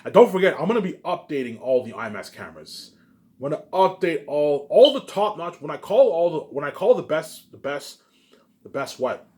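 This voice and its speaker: A man's voice: fairly high pitch, big pitch swings, fairly quick, warm tone. A man giving a rambling, casual solo monologue, emphatic and repetitive, often tagging his points with rhetorical questions. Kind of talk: rambling casual monologue about personal plans.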